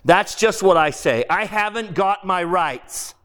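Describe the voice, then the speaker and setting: A man's voice: high-pitched, animated, measured pace, fairly bright tone, voice pushed up. A man voicing a sulky, indignant working-class ghost. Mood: aggrieved, insistent. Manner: blustering, aggrieved, repetitive, slangy. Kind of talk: dramatised audiobook character voice.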